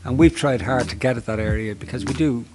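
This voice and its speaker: A middle-aged Dublin man who manages a homeless service, answering interview questions earnestly with many halting restarts. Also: mid-range voice, over music. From Ireland.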